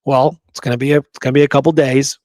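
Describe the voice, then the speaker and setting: A man's voice: mid-range voice, level tone, fast talker, a little mellow, dry recording, studio quality. Emphatic man telling history stories casually. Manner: emphatic, keeps checking in with "right?". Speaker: history show co-host. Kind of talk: casual history discussion and storytelling.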